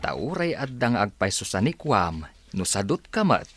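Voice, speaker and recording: Man acting a husky, deep village father. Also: big pitch swings, moderate pace, a bit bright. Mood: earnest. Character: humble, hardworking village father. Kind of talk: radio drama acting.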